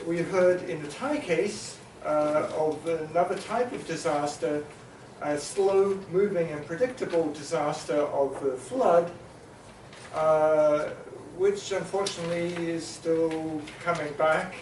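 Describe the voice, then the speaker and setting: Halting male conference facilitator in an echoey hall. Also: on the high side, darkish tone, a little hiss or hum. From New Zealand. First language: Maori. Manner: halting, many filler sounds and restarts, summarizing. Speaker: panel facilitator. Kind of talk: conference panel moderation. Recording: echoey hall, mic at a distance.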